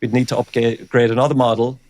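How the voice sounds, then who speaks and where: A man giving an informal technical explanation as an interview guest, thinking aloud in a halting way. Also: medium pitch, level tone, a little mellow, no room sound. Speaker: engineering director, interview guest.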